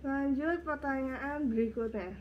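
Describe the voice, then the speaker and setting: A woman's voice: high voice, measured pace, highs cut off, a little hiss or hum. Congested young woman chatting at home. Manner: chatty, reads questions out. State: congested, nasal voice; short of breath. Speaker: vlogger. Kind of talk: casual Q and A chat.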